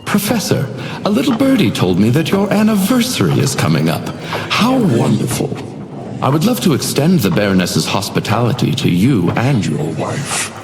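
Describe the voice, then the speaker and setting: A man's voice: mid-range voice, wildly swooping pitch, normal speed. A man voicing an uncanny, suavely menacing hotel doorman in a video game, theatrical and unctuously polite, with insinuating courtesy. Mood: courteous, insinuating. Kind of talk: scripted video game character lines. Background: music.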